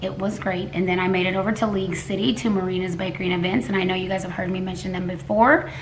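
A woman in her forties from Texas, hoarse, chatting casually to camera at home, rambling with restarts and coughs. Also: fairly low pitch, fairly even intonation, quick pace, slight background noise, poor audio. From Texas, USA. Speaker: cooking vlogger. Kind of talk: casual vlog talk to camera. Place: living room at home, indoors. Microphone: camera a few feet away at a table.

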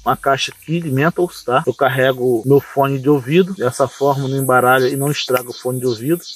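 A man's voice: medium pitch, fast talker, husky, no echo, soft background music. A middle-aged man from Brazil casually showing and explaining the items of his everyday carry kit outdoors, often checking in with the viewers. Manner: explains each item, often adds "tá, gente?". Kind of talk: casual show-and-tell. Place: outdoors at a table in a yard.

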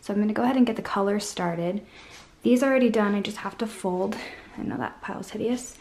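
A woman's voice: mid-range voice, fast talker, a little mellow. A young woman in a casual vlog voice-over, chatty and upbeat, talking viewers through her cleaning routine step by step. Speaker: home vlogger.

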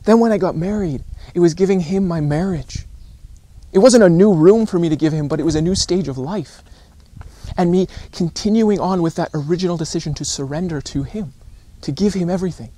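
A man in his thirties giving an informal sermon in an earnest, deliberate way, with emphatic repetition and pauses. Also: high voice, warm tone, no room sound. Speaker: preacher. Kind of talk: informal recorded sermon to camera. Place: outdoors on a rocky shore by calm water.

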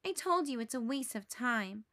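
A woman's voice: a little high, expressive, moderate pace, fairly bright tone, no echo, studio quality. A woman voicing an earnest, insistent little boy in a children's storybook read aloud.

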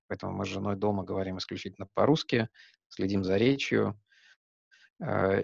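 A man with a lowish, gravelly voice talks on a video call from a room under a skylight. He pauses often and restarts his sentences while he thinks. The headset sound is dull and dry. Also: dry recording. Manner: thoughtful, many hesitations and restarts. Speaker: guest speaker, company executive. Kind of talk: online talk answering questions.

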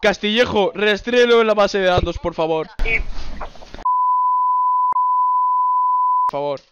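A man's voice: sits high, fairly quick, highs cut off, very noisy, close to an everyday voice. A man voicing an officious, short-tempered Basque police officer in an improvised phone prank, insistent and easily exasperated. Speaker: prank caller.

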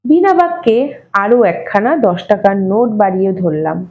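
A woman reading an audio story in an expressive, measured, wry narrating manner. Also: mid-range voice, highs cut off. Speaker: story reader.

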